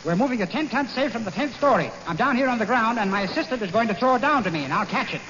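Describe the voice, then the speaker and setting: A loony express mover played by a man brags in a very high voice that leaps around. The tone is bright and cutting. Dull old radio sound. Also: lively intonation, fast, slight background noise. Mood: zany. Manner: wild laughter, goofy boasting. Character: zany male express mover, loud and daffy. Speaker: comic sidekick playing an express man. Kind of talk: 1940s radio comedy.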